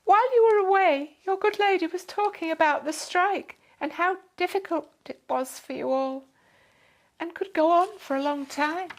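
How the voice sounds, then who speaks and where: A woman's voice: very high-pitched, normal speed, pitched above the performer's own voice. A woman voicing a flustered, well-to-do older lady in a children's story, gushing, polite and eager. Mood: grateful, gracious.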